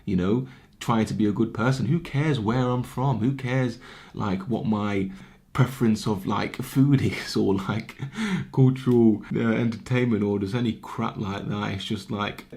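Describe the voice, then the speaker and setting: A man's voice: on the low side, fairly even intonation, a little slow, a little mellow. A man in his twenties giving an earnest, impassioned rant straight to camera, often repeating words as he thinks. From Britain. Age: 20s. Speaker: vlogger. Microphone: camera mic, talking to camera.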